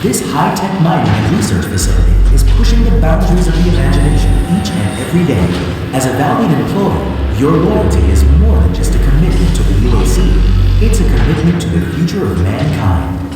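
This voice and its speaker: An earnest female corporate hologram from a video game, delivers polished promotional announcements with lively intonation. The sound is heavily echoed and distant, like a large hall, over loud music. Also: low-pitched, fairly quick. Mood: welcoming, official.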